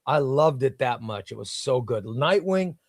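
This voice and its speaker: A man's voice: medium pitch, lively intonation, a little slow, no room sound, clean studio sound. A man hosting a casual livestream, reading viewer comments aloud and gushing with enthusiasm, often repeating himself. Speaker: livestream host.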